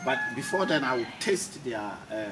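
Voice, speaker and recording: Male interviewer, casual and encouraging, very varied pitch, set back from the mic. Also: expressive, brisk. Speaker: interview host. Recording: distant mic, clearly noisy background.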